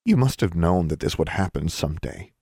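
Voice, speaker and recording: A man's voice: low-pitched, expressive, fairly quick, darkish tone, breathy edge, no echo, studio quality, exaggerated voice. A man voicing a guarded, blunt elder sister in a story, with terse, cutting delivery. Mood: challenging.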